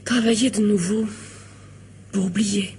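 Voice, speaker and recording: An actress in a French radio mystery drama playing a weary, lovelorn young woman, wistful and hesitant, confessing in a hushed way. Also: medium pitch, steady tone, measured pace, husky. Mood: subdued, confessing.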